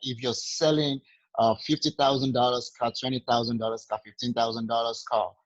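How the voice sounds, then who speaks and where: A man's voice: medium pitch, little pitch movement, a little fast, no room sound, muffled recording. A man with a Nigerian accent, talking earnestly through sales advice in a casual group training session. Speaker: sales agent.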